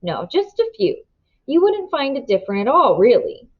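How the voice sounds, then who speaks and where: A woman voicing a smooth, scheming older man who talks in polite, ingratiating tones with quiet menace. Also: high voice, huge pitch swings, moderate pace, voice pushed up. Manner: silky, ingratiating, then hard bargaining. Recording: dull lo-fi recording.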